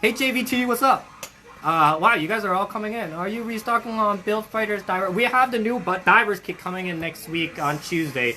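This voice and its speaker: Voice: mid-range voice, a little fast, sharp tone. A young adult hosting a hobby shop livestream in a casual, upbeat way, chatting with viewers, greeting them by name and reading out their comments, with lots of fillers and restarts. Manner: friendly and effusive toward viewers.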